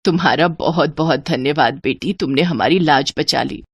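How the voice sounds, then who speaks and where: A woman voicing a humble, loving, weary poor mother, softly and tenderly, in a moral story. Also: low-pitched, normal speed, slightly rough, dry recording, studio quality, pitched below the performer's own voice. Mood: tender, regretful. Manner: soft, tender, subdued.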